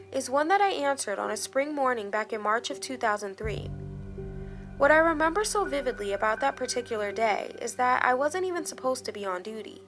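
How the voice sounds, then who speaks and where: Higher-pitched woman narrating, slightly creaky voice. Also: fairly bright tone. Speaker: horror story narrator. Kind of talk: scripted dramatic first-person narration. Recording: background music under the voice. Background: music.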